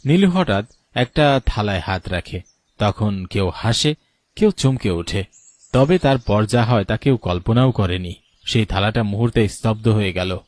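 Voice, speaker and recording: A man narrating a scripted horror story in a measured, solemn manner. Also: on the low side, expressive, no echo. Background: music bed.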